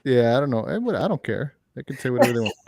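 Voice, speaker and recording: Guy hosting a sneaker stream on a video call, monotone, dead dry sound. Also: medium pitch, racing, no echo. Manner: flat, dry delivery. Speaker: livestream co-host. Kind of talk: casual chat, reading comments.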